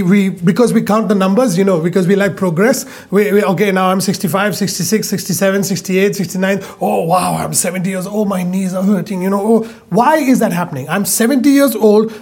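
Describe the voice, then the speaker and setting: A man preaching a sermon, emphatic, repeating phrases and drawing out key words slowly. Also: sits high, darkish tone, breathy edge.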